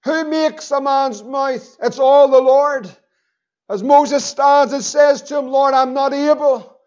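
A male pastor with a Northern Irish accent, preaching a fervent sermon, repeating phrases and slowing down for emphasis. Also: extremely high pitch, darkish tone, muffled recording.

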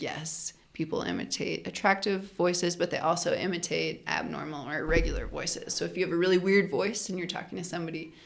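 A young woman chatting as a podcast guest, her voice sitting a little low with a gravelly, fried edge. She explains things loosely, stringing thoughts together with plenty of "like" and "um." It sounds like relaxed conversation, not a lecture. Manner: chatty, frequent "like" fillers, laughs while explaining. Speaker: linguist and anthropologist guest. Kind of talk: casual podcast interview.

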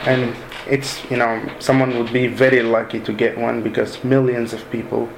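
A man in his thirties from Sudan, softly recalling his move abroad in an unhurried way with many pauses. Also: medium pitch, level tone, creaky. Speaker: interviewee. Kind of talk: casual personal recollection. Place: room in a mosque. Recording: echoey room with ambient noise.

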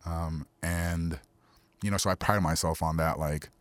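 A deep-voiced man from Queens whose first language is Spanish speaks as a musician guest. He talks in a flat, even tone and keeps stopping to fill gaps with "um" and "you know." The sound is dry and close. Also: no room sound. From Queens, New York City, US. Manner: relaxed, halting, full of fillers like "you know" and "um". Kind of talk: casual interview talk.

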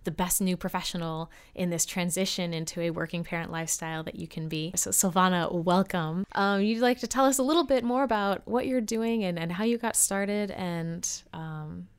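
A woman's voice: lowish voice, normal speed, few pauses, clean tone. A woman hosting a podcast who welcomes her guest and asks questions, slipping in fillers as she goes. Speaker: podcast host. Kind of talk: podcast interview.

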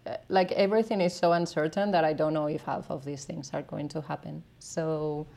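A Spanish woman with a Spanish accent, a native Spanish speaker, chatting as a guest in a casual interview, self-deprecating and quick to laugh. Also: low voice, steady tone, vocal fry, dark tone. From Spain. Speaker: artist and researcher guest.

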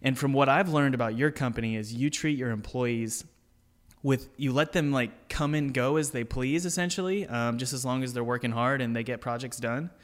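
A man's voice: medium pitch, normal speed. A man hosting a podcast, chatting casually and enthusiastically with his guest. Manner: enthusiastic, chummy, says dude. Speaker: podcast host. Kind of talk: casual interview chat.

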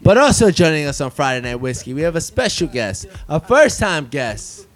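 A man's voice: on the high side, moderate pace. A man in his thirties hosting a casual chat podcast with friends, playful and joking. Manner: playful, animated, restarts his intro. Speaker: podcast host. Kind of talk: casual chat over drinks.

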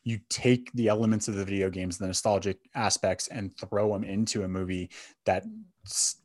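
A man's voice: low-pitched, steady tone, normal speed, dry recording, clean studio sound. A man co-hosting a podcast, casually discussing films in an opinionated, conversational way. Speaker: podcast co-host.

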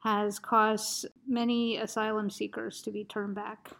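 Gravelly-voiced woman interviewer. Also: mid-range voice, little pitch movement, measured pace, a bit bright. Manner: attentive, with frequent short acknowledgements. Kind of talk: conversational interview.

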